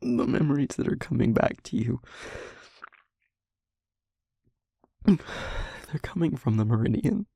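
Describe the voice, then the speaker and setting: A man playing a tormented, remorseful demon in love, in an intimate audio roleplay, speaking haltingly in a breathy, husky, often whispered voice and pleading. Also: mid-range voice, big pitch swings, dark tone, no room sound, full character voice. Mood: urgent pleading. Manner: slow, halting, emotionally pleading.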